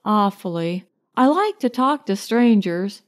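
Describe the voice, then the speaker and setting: A lonely young city woman, as a woman reader acts her, speaks softly and slowly in an audiobook story. She is quiet and wistful, yet friendly to strangers. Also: mid-range voice, animated, lightly acted. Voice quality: soft, quiet.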